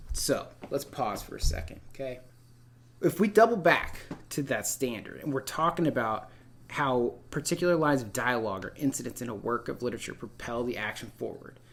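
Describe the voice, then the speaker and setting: Man, medium pitch, teacher, read-aloud lesson style, expressive, informal, encouraging. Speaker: middle school literature teacher. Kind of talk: read-aloud lesson, narrating a novel and addressing students.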